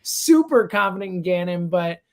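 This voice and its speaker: A man's voice: high-pitched, animated, normal speed. A fired-up man, a sports radio guest, giving emphatic, conversational commentary.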